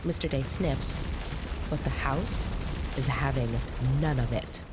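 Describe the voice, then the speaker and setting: Actress as low-voiced woman narrating a horror tale. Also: slow pace, noisy background. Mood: dramatic, fearful. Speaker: first-person narrator. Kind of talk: dramatic scripted narration. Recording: loud music and effects behind voice.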